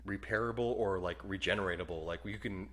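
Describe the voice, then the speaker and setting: Deep-voiced, laid-back man. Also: quick pace, a bit bright, slight background noise. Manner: laid-back, chuckling, rambling asides. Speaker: podcast co-host. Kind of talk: casual movie review chat.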